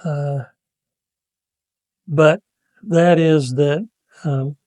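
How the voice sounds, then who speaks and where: A man on a devotional panel giving slow, halting, thoughtful answers with many pauses. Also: on the high side, fairly even intonation, warm tone, no room sound. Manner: hesitant, measured, many pauses and fillers.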